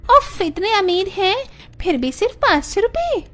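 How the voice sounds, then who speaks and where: A woman's voice: very high-pitched, very animated, unhurried, fairly bright tone, faint music behind, full character voice. A woman playing a spoiled, defiant young rich bride in a family story, snappy and complaining. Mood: irritated, impatient. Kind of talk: dramatised Hindi family story acting.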